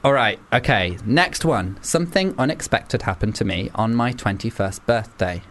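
A young man runs a casual podcast quiz with a lively, swooping voice. He teases his guests and laughs easily. There is a faint hiss of background noise. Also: lowish voice, moderate pace. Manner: playful, teasing, laughs often. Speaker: podcast host. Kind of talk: casual chat, quiz game.

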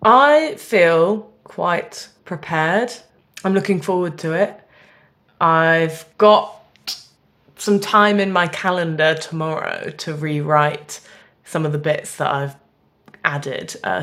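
Low-pitched young woman, playful and chatty. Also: a bit bright. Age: young adult. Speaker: stand-up comedian guest. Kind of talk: casual playful chat.

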